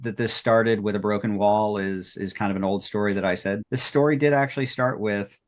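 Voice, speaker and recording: A man talking casually and reflectively as a podcast creator looking back, rambling and appreciative of his colleagues. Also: fairly low pitch. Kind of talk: casual retrospective talk.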